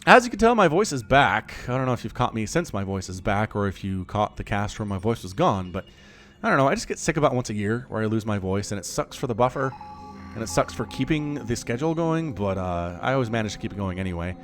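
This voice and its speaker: A man in his thirties giving solo play-by-play commentary on a strategy game match, chatty and excitable with jokey asides. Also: fairly low pitch, fast. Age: 30s. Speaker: game caster.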